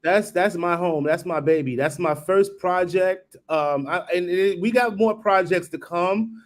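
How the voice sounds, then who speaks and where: A man's voice: high-pitched, a little slow. A man in his forties from New York, talking casually on a live video call. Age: 40s. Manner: relaxed and conversational. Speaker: guest. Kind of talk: casual live-stream chat.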